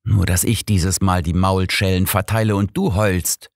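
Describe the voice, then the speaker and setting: A man's voice: low-pitched, steady tone, moderate pace, vocal fry, no room sound, studio quality. Man acting a taunting, vengeful killer. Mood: cruel, menacing. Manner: taunting, menacing. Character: vengeful grown son, cruel taunting killer.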